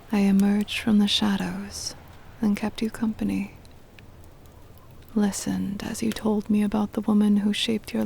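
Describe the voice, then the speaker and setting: A woman playing a centuries-old vampire mistress, tender and possessive, in a hushed, breathy ASMR whisper with deliberate, formal wording. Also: medium pitch, slight background noise. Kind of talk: ASMR roleplay, intimate scripted monologue.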